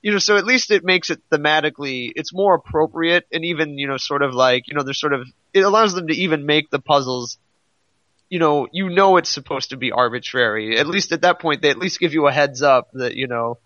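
A man's voice: a little high, brisk, sharp tone, no echo, highs cut off. A man chatting casually about video games as a podcast co-host, explaining things in a rambling way and often interrupting himself.

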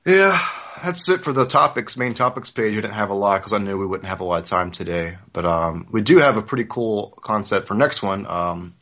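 A man in his thirties with a fairly low-pitched voice hosts a casual podcast. He talks informally and explains things in a conversational way. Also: fairly quick, few pauses, sharp tone. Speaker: podcast host.

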